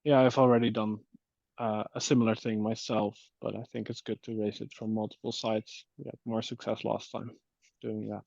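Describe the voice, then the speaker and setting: A man talking through an issue in a work meeting on an online call, with halting, hesitant phrasing. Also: lowish voice, flat delivery, vocal fry, darkish tone, no echo. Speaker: committee member in a technical meeting. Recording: muffled, dull call audio.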